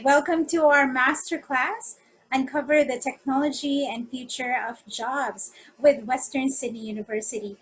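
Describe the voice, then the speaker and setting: A woman's voice: a little high, fairly bright tone, low-quality recording. A woman moderating an online masterclass over a video call, reading polite, scripted announcements. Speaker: webinar moderator. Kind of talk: formal event hosting.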